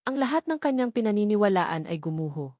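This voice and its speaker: A woman's voice: medium pitch, big pitch swings, fairly quick, darkish tone, no echo, studio quality. A woman reading a scripted romance drama as a story narrator.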